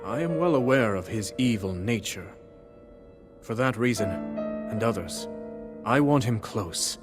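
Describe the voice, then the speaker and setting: A man playing a noble, self-assured king of an ancient realm, speaking formally and calmly in a video game cutscene. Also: lowish voice, measured pace. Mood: regal composure. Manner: stately, formal, composed. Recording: orchestral game music behind.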